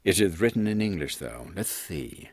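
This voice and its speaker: Man acting a husky, animated airship captain. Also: sits low, normal speed, dry recording. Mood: pondering. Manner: formal, old-fashioned military politeness. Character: courteous, dutiful male airship captain serving the Queen. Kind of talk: audio drama acting.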